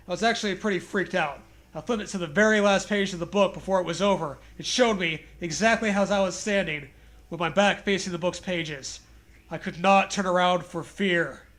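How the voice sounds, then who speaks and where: Guy w a pretty high voice reading a dumb scary story for laughs, jokey and loose. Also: a bit bright. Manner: casual, joking, prone to laughter. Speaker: co-host reading a story aloud. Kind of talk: comedic horror story read-aloud with joking banter.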